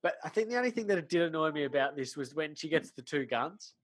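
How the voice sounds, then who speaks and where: A man's voice: on the high side, lively intonation, fast. A man chatting casually as a podcast co-host, informal and blokey, with conversational asides. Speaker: podcast co-host discussing films. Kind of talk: casual chat.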